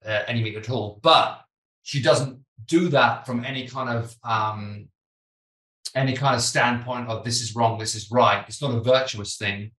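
A British man in his thirties hosting a podcast over a video call, talking casually and animatedly, with many restarts. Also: mid-range voice. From Britain. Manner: animated, gestures with his hands, frequent restarts. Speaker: podcast host. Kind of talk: casual conversation. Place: home office, video call. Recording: echoey room, mic at a distance.

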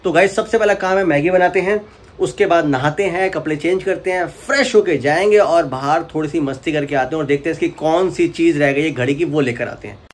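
A man's voice: on the high side, a little fast. A young man vlogging in a casual, chatty way, talking straight to his viewers, with a sore throat that leaves him a little unwell. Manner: chatty, addresses viewers directly, mixes English words in. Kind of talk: casual vlog chat to viewers. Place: home and hotel, indoors.

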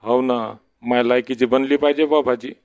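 A man's voice: mid-range voice, fairly even intonation, moderate pace, clean tone, natural voice. A man voicing a fussy, complaining village father-in-law in a comedy cartoon, with a Varhadi Marathi accent. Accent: Varhadi Marathi from Vidarbha. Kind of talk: comedy cartoon dialogue in rural dialect. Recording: dull, muffled sound.

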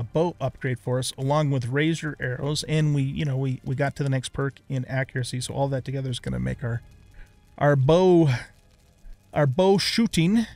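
A man's voice: mid-range voice, dry recording. A middle-aged man giving casual let's play commentary, unhurriedly thinking aloud step by step.

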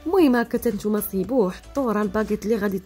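A woman's voice: medium pitch, very fast, warm tone, faint music behind. A young woman with a Moroccan Arabic accent hosting a cooking tutorial, chatty and practical, talking straight to viewers. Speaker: cooking channel host.

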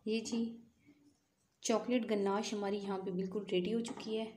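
Home cooking host, woman, mellow voice, patient step-by-step instruction, echoey kitchen. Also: medium pitch, flat delivery, moderate pace, a little hiss or hum. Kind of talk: home cooking tutorial voice-over.

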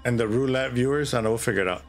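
A middle-aged man hosting a livestream, chatting casually and reading a horror story in a drawn-out, theatrical way with pauses. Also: mid-range voice. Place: indoor streaming setup. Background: music.

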